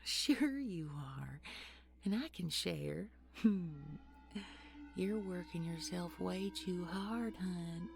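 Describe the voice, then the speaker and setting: A woman's voice: fairly low pitch, animated, slow, gravelly, soft background music, full character voice. A woman playing an ancient nightmare posing as a business executive in an audio horror drama, mock-sweet and menacing, with folksy, condescending pet names. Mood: taunting. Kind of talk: audio horror roleplay acting.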